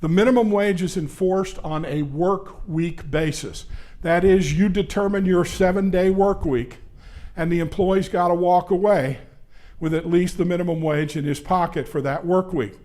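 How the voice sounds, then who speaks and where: Older guy giving a conference talk on a mic, loud voice, a bit high, lots of uhs. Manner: loud, brisk, conversational lecturer with frequent "uh" fillers. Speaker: labor law attorney presenting a seminar. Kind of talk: conference presentation. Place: conference session room. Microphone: handheld or stand microphone.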